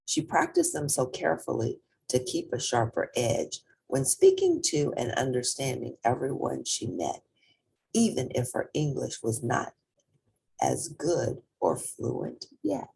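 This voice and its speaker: A woman reading a children's picture book aloud in an expressive, deliberate and emphatic storytelling manner. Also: extremely low pitch, gravelly, husky, rough recording. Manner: deliberate, emphatic storytelling with spoken asides to listeners.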